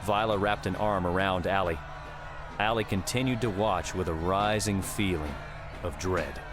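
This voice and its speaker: A man's voice: low-pitched, normal speed. A man reading scripted third-person fiction as an audiobook narrator. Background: prominent music with outdoor ambience.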